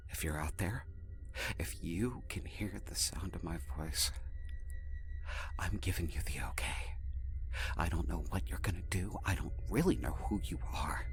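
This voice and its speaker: Irreverent, weary captive, male actor. Unhurried, emphatic, casual and sardonic, for audio drama. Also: low-pitched, a bit bright. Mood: grave, hushed.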